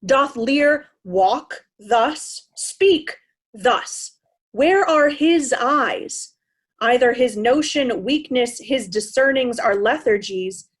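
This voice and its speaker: Woman as aging shakespeare king, slow stately delivery, proud and easily affronted. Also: on the high side. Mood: bewildered, bitter. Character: proud old king, bewildered and indignant. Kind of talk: Shakespearean stage reading.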